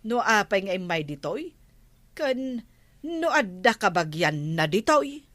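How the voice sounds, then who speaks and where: Slow-talking Ilocano village matron. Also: female voice, medium pitch, big pitch swings, a bit bright, no room sound. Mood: inquiring, firm. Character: village captain's wife, calm inquiring matron. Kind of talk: Ilocano radio comedy drama acting.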